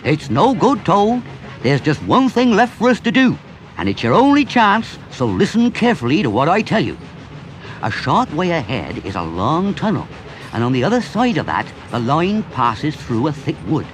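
A man's voice: fairly high pitch, wildly swooping pitch, normal speed, soft background music, rough recording. A man voicing a kindly but stern middle-aged engine driver, bluff and hearty. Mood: urgent.

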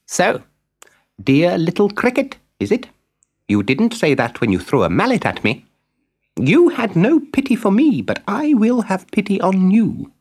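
A man's voice: high voice, huge pitch swings, unhurried, a little mellow, slightly rough, voice pushed up, exaggerated voice. A man voicing a small talking cricket in a storybook reading, speaking in a scolding, moralizing way.

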